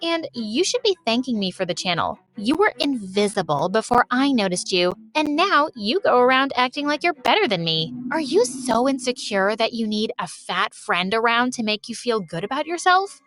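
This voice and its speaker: A woman's voice: on the high side, big pitch swings, normal speed, few pauses, a bit bright. A woman doing a sneering mean teen girl. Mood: cruel. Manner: sneering, taunting. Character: cruel mocking teenage girl, spiteful.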